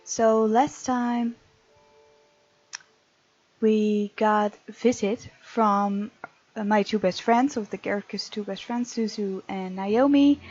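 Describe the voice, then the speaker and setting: A woman's voice: medium pitch, steady tone, slow, a little mellow. A woman hosting a let's play of a visual novel, reading the game text aloud and commenting on it. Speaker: gaming host. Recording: dull lo-fi mic, game music underneath.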